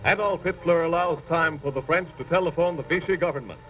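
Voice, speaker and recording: A male 1940s newsreel narrator with a fairly high voice, declaiming solemnly and dramatically. He sounds set back from the microphone on an old soundtrack, with loud music running behind him. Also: a little fast, distant mic, poor audio.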